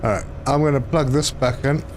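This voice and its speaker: Male streamer, mid pitch, casual and hesitant, faint game noise behind. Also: fairly even intonation, fairly quick. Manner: chatty, reads chat aloud, hesitant with fillers. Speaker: gaming live streamer. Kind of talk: casual live stream commentary.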